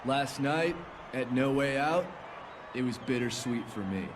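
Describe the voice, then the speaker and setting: A man's voice: mid-range voice, lively intonation, a little slow, close to an everyday voice. A man playing a determined, defiant rookie champion wrestler in a video game cutscene. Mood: earnest resolve. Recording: clearly noisy background ambience.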